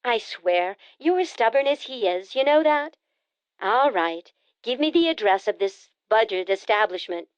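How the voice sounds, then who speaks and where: A woman narrator voicing a brisk, teasing adult sister in an audiobook, pushy and quick to needle. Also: a little high, animated, clear, resonant tone, dry recording, poor audio. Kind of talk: audiobook character voice.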